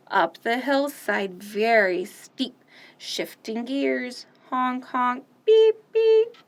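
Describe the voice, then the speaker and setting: Bright-voiced woman doing kids' storytime. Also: fairly high pitch. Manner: animated, sing-song, with loud playful sound effects. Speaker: children's storytime host. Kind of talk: reading picture books aloud and singing to young children.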